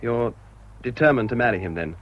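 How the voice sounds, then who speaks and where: An actor playing a wry, educated village vicar, exclaiming and droll in a British radio drama. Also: male voice, mid-range voice, animated, fairly quick, natural voice. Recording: dull, noisy radio recording.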